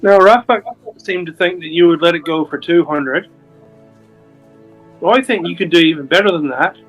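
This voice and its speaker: Smooth-talking man at a game table. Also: fairly high pitch, normal speed, a bit bright, faint music behind, low-quality recording. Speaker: player. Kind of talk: in-character roleplay talk.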